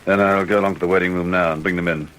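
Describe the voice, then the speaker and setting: A man playing a calm, businesslike police constable in a radio drama, brisk and matter-of-fact. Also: low-pitched, over music, natural voice.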